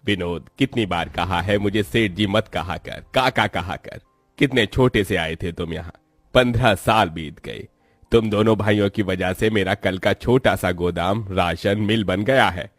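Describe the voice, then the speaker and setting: A man's voice: lowish voice, unhurried, fairly bright tone, no echo, faint music behind. A man playing a kindly, fatherly older grain merchant and employer in a moral-story cartoon. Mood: fatherly, approving.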